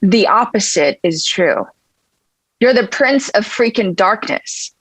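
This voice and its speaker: Dry close sound, stern demon, reproachful, intense, medium pitch, scripted drama. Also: female voice, lively intonation, slow, a bit bright, slightly rough, no echo, heavily acted, poor audio. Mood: scornful reproach. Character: stern, scornful demon woman, loyal but impatient. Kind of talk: scripted table read acting.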